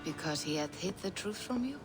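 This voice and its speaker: An actress playing a grave, probing Norse seer in a video game cutscene, measured and reverent in manner. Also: female voice, fairly low pitch, expressive, natural voice. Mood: intrigued, probing. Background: music.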